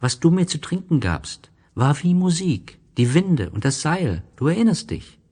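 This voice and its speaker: A man playing a gentle, wistful young boy in a German radio play, speaking slowly, earnestly and tenderly. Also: fairly high pitch, big pitch swings, breathy edge, voice pushed up, full character voice. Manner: slow, earnest, tender, simple phrasing.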